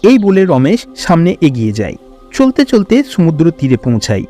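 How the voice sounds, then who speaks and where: Animated male fairy-tale narrator. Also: on the high side, moderate pace, faint music behind.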